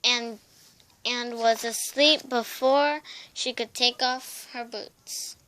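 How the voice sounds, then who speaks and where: A young woman narrates a children's storybook. Her voice is high and bright, and she reads slowly and carefully, sometimes repeating a word. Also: steady tone. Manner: careful reading with stumbles and repeated words. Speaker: storybook reader.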